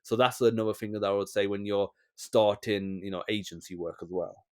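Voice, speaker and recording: A man's voice: lowish voice, fairly even intonation, fairly quick, clean tone. A man hosting a podcast interview, giving advice in a loose, rambling way with lots of restarts. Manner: rambling, many restarts and "you know". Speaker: podcast host. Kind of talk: casual interview chat.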